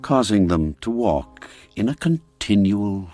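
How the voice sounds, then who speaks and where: A man narrating a classic radio story in a measured, deliberate storytelling manner. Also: lowish voice, animated, darkish tone. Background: faint background music.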